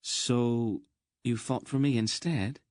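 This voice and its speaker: A man's voice: medium pitch, steady tone, slow, darkish tone, no room sound, clean studio sound, pitched above the performer's own voice, close to an everyday voice. A man voicing an earnest, slightly awkward young man talking with a knight.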